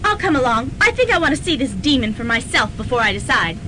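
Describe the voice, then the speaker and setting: A woman's voice: sits high, very animated, quick pace, bright, forceful tone, full character voice. A woman playing a reasonable, doubtful college student in a student radio drama. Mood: doubtful, reasoning. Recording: faintly noisy old radio recording.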